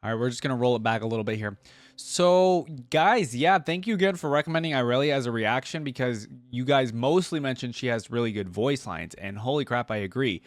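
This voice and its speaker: A man's voice: medium pitch, lively intonation, a little fast, no echo. A man hosting a reaction video, commenting casually in an informal, slangy, rambling way.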